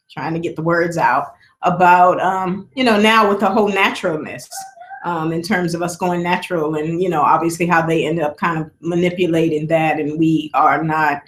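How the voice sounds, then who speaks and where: A woman hosting a casual live stream from home, chatting and rambling with a projecting voice, recorded on a laptop mic. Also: on the low side. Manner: chatty, rambling, laughs often, drinks between sentences. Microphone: laptop built-in mic.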